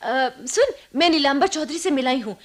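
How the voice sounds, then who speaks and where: A woman playing an anxious but practical young married woman in a radio play, speaking in an animated, urgent and reassuring way. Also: high voice, moderate pace. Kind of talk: Hindi radio play acting.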